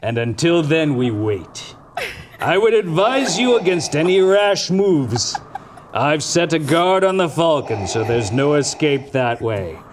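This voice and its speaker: A man playing a courteous but menacing dark lord in a staged script reading, measured and sinister. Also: on the high side, big pitch swings, background noise. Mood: calmly threatening.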